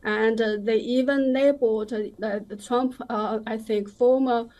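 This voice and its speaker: A woman speaking as a commentator in a live news interview. Her voice sits somewhat high, and she talks slowly, with halting phrasing and firm opinions. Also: fairly even intonation, a little mellow. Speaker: interview guest, commentator on China.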